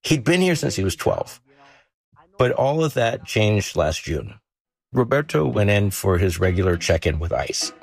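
A man reporting a story on a news podcast, explaining it in a measured, storytelling way. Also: lowish voice, big pitch swings, creaky, slightly rough, no echo.

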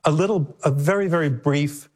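A man's voice: a little high, moderate pace. A man moderating a panel discussion, genial and easygoing, with small restarts and stumbles as he talks.

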